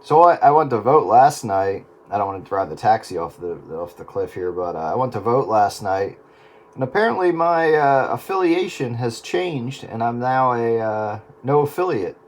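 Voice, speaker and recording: A man's voice: mid-range voice, sing-song, normal speed, slight background noise. Man chatting casually over two-way radio. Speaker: amateur radio operator in a round-table chat.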